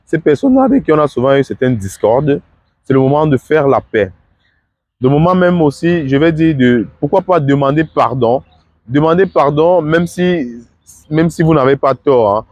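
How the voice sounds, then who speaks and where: A man's voice: mid-range voice, darkish tone, no room sound. A man with the flu, talking casually and earnestly to his followers, repeating words and dropping filler words as he gives advice. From Africa. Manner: repetitive, stammering, fillers like "hein"; earnest, advising. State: has the flu. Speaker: vlogger.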